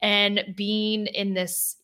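A woman's voice: medium pitch, little pitch movement, very slow, pushed and bright, smooth. Upbeat woman co-hosting a podcast. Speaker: podcast co-host. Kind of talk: casual chat.

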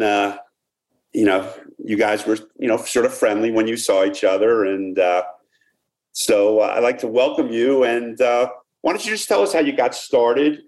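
A man hosting a sports podcast, welcoming guests and asking questions in a halting, filler-heavy way. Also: mid-range voice, darkish tone. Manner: halting, many "uh" fillers and restarts. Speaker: podcast host. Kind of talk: casual podcast interviewing.

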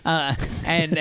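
A man co-hosting a casual film podcast, his voice husky from illness, chatty and enthusiastic. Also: a little high, no echo. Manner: chatty, enthusiastic, laughs often. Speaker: podcast co-host.

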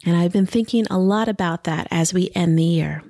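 A woman hosting her own podcast in a casual, reflective monologue, speaking softly and gently, her voice a little scratchy from allergies. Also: lowish voice, no room sound, studio quality. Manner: slow, soft and gentle, with drawn-out pauses. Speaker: podcast host. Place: home by a river, indoors, wind outside.

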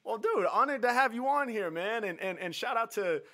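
A man's voice: high-pitched, animated, brisk, fairly bright tone. A man hosting a live show and chatting casually with callers in a slangy, appreciative way. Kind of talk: casual chat with callers on a livestream. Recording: music playing behind the voice.

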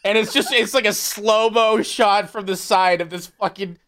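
A man's voice: high-pitched, fairly even intonation, normal speed, fairly bright tone. A man guesting on a podcast, chatting casually with a wry, sarcastic streak. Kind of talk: casual comedic chat.

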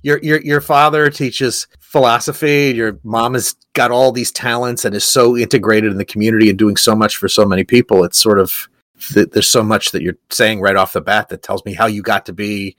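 A man's voice: lowish voice, fairly even intonation, fairly quick, a bit bright, dry recording. A man hosting an interview, asking curious, enthusiastic questions. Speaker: host. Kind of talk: casual interview.

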